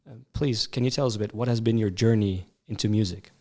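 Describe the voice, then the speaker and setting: Husky, mellow-voiced podcast host. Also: male voice, on the low side. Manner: measured, reads a prepared intro. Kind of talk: scripted intro, then interview questions.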